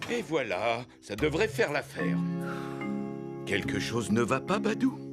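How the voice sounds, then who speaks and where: An older actor voicing a wise, fair-minded elephant king and grandfather in a cartoon, speaking calmly and with measure. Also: mid-range voice. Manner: calm, measured, principled. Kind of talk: cartoon voice acting. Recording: cartoon soundtrack with music behind.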